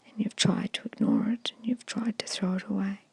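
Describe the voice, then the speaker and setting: A woman whispering a guided meditation in a slow, soothing, hushed storytelling manner, close to the microphone. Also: mid-range voice, fairly even intonation, no room sound. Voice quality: breathy whisper. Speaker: hypnosis guide.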